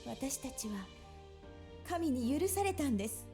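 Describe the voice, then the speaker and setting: High, expressive, polite young nurse. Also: female voice, a little fast. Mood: solemn. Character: young female clinic nurse, polite, secretly mischievous. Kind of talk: Japanese visual novel voice acting. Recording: game audio with music behind. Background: game music.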